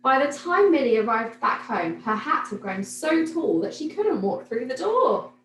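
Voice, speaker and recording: A woman reading a children's picture book aloud like a teacher, in an expressive, animated storytelling manner. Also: high voice, normal speed. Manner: animated, clearly paced storytelling for young children. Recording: roomy echo, noticeable background noise.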